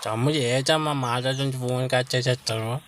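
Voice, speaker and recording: A man's voice: mid-range voice, fairly even intonation, fairly quick, background noise. A man casually making a comedy prank call, persistent and mock-earnest in his pleading. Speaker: prank caller and host.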